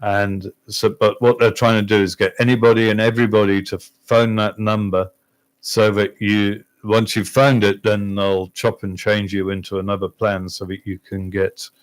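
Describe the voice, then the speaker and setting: A man's voice: lowish voice, level tone, normal speed. A man, a guest on a local radio talk show, speaking hesitantly with many restarts. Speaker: insurance agent guest. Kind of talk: casual radio chat. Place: radio studio.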